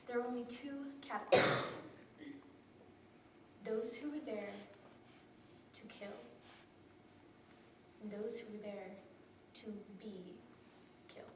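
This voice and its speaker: A teenage girl giving a memorized competition speech with a solemn, deliberate delivery that drops to hushed tones. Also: a little high, noisy background. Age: teens. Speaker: student speaker. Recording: roomy echo from a distant camera.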